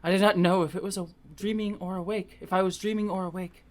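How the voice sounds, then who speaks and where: A reader voicing a frightened young foreign woman who pleads timidly in halting English, as in an audiobook romance. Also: medium pitch, steady tone, lightly acted. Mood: frightened, apologetic. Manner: halting non-native English phrasing.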